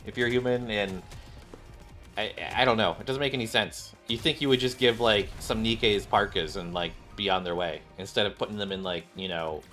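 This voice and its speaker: A man's voice: lowish voice, moderate pace, fairly bright tone, faint music behind. A man giving casual let's-play commentary as a gaming streamer, talking through a game and reading some of it aloud.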